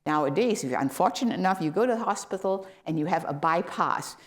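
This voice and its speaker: A middle-aged woman from Malaysia delivers a guest lecture in a hall, in a somewhat low voice. She explains her points patiently and rises sharply in pitch on the words she wants to stress. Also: expressive, fast talker. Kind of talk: academic lecture.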